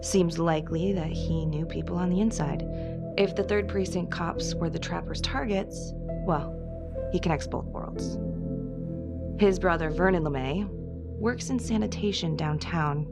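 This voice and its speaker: Female cop, tough, determined, blunt streetwise delivery, video game drama, loud soundtrack underneath. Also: on the low side, unhurried, vocal fry. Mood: grim, businesslike. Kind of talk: cinematic video game acting. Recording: loud music behind the voice.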